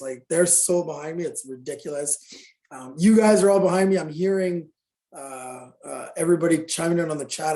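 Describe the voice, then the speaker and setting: A man's voice: a little high, warm tone, roomy sound. A middle-aged man speaking candidly and earnestly on a video call meeting, talking to fitness business owners.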